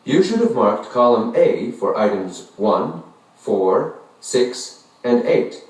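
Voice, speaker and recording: A man narrating a language textbook listening exercise in a scripted, deliberate way. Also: lowish voice. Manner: slow, deliberate, lively intonation. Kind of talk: scripted language-lesson instructions. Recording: echoey, distant textbook audio.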